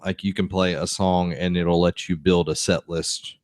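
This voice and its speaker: A very low-pitched man talks games on a podcast, loose and rambling, often restarting mid-thought. His voice sits close and dry, with almost no room around it. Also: little pitch movement, moderate pace, no room sound. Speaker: podcast co-host. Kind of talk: casual chat about games.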